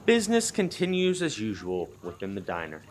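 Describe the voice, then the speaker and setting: Animated male radio play narrator. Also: lowish voice, brisk, a little hiss or hum. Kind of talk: dramatic scripted storytelling.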